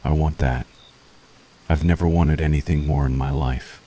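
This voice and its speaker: A man playing a weary, conflicted witch hunter in an intimate ASMR roleplay, speaking softly and earnestly to the enemy he has grown tender toward. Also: extremely low pitch, steady tone, normal speed. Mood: yearning, earnest. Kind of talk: ASMR boyfriend roleplay, intimate scripted monologue.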